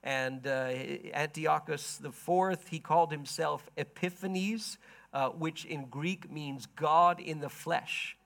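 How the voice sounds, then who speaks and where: Hoarse male preacher, gravelly and slightly high, measured storytelling delivery for a Bible lesson. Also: a bit bright, no room sound. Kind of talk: sermon-style Bible history lesson.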